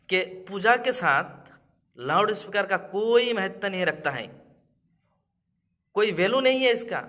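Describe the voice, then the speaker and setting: A man's voice: high-pitched, pushed and bright. A man in his thirties giving emphatic commentary to camera in a small room, with rhetorical questions. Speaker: video commentator. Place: small indoor room with brick wall.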